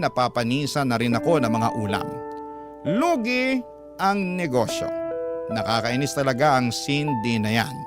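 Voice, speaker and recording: A man hosting a radio drama, reading a listener's letter aloud in an expressive storytelling voice. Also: mid-range voice. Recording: loud guitar music behind.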